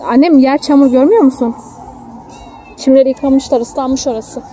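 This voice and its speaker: A woman's voice: sits high, fairly even intonation, normal speed, dark tone, soft background music. A young woman chatting casually and affectionately with her small child, explaining things simply and coaxing her along. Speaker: mother filming a family outing. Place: outdoors in a city park. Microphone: handheld phone held by the speaker. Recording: outdoor phone video with faint noise.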